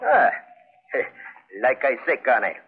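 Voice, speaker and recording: An actor playing a sly, fatalistic Spanish pirate villain in a 1940s radio drama, taunting in a hesitant drawl. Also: male voice, medium pitch, sing-song, slow. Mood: mocking, rueful. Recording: old radio broadcast, narrow band, faint noise.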